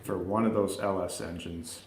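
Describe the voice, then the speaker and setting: Lowish-voiced man in an echoey room. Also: steady tone, moderate pace. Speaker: film review panelist. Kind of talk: casual chat, film opinions. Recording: roomy echo, faint background noise.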